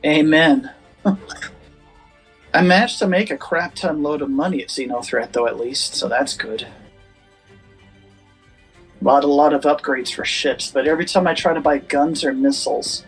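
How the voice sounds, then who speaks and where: A man with a soft, gentle voice, chatting casually over voice chat with a streamer. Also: fairly high pitch, measured pace, long pauses, husky, faint music behind. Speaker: guest gamer.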